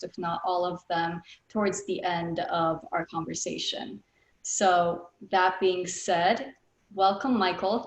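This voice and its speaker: A woman's voice: medium pitch, roomy sound, rough recording. A woman in her thirties hosting an online interview, politely introducing her guest with frequent ums. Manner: polite, filler-heavy. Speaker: interview host. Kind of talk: scripted-sounding introduction, then interview questions.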